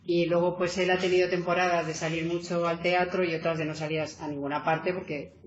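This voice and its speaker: A woman author presenting her book in relaxed conversation, talking in an animated way with many fillers and restarts. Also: fairly low pitch, a little fast. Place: meeting hall. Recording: echoey hall, dull lo-fi sound.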